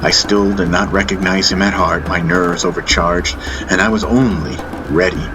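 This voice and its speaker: A man's voice: deep voice, fairly even intonation, moderate pace, rough recording. A man narrating a fantasy audiobook in the first person, with intense, solemn, dramatic storytelling. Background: music bed.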